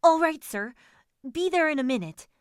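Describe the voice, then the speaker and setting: A woman voicing a tipsy male carriage driver in a storybook, servile and hurried in manner. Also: fairly high pitch, no room sound, clean studio sound, voice pushed up, lightly acted. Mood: hasty, eager.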